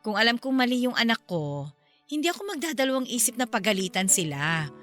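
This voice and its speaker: A woman's voice: fairly high pitch, very animated, a little fast, a bit bright, no echo, soft background music. A woman playing a frank, feisty middle-aged Filipino mother and forgiving best friend in a radio drama. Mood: frank, advising.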